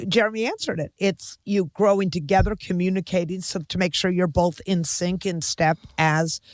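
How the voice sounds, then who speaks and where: A woman's voice: on the low side, fairly even intonation, normal speed, no echo. An older American woman co-hosting a chatty interview, asking direct, teasing, probing questions. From Boston, United States. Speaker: podcast co-host.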